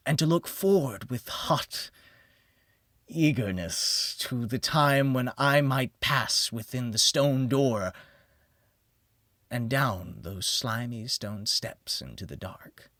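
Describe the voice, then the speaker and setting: A man reads gothic horror as an audiobook narrator. His delivery is slow and solemn, with theatrical pauses and a pitch that rises and falls widely. The recording is close and dry. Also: lively intonation, a bit bright, dry recording.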